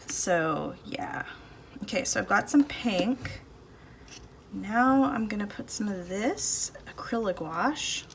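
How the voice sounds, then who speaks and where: A woman talks her way through a painting session in a relaxed, thinking-aloud manner, her voice neither high nor low. She is recorded on her phone in a home studio, with a faint hum of cars and a fridge under her voice. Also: slow, gravelly. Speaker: art channel host. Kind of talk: casual painting demo chat. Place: home art studio, indoors. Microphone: phone camera above the table, no external mic. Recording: phone audio with faint background noise. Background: faint street and household noise.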